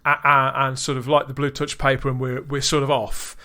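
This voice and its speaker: A man's voice: medium pitch, flat delivery, a little fast, sharp tone. A man hosting a podcast, reviewing in casual chat, enthusiastic, with frequent restarts. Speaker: podcast host.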